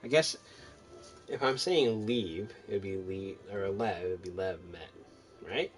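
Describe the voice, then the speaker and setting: A man's voice: low voice, measured pace, soft background music. A man giving casual, rambling commentary on his own video game playthrough, thinking aloud as he goes. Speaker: gamer narrating own playthrough.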